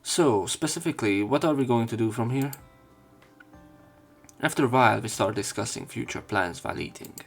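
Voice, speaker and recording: A man's voice: mid-range voice, expressive, normal speed, gravelly, slightly rough, soft background music. A man reading visual novel text aloud as a let's play host, casual and prone to interrupting himself.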